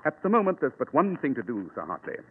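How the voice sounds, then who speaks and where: Commanding gentleman detective, tinny radio. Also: medium pitch, wildly swooping pitch, quick pace. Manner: crisp, formal, commanding. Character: shrewd, composed male gentleman detective. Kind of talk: 1940s radio acting. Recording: noisy, tinny old radio recording.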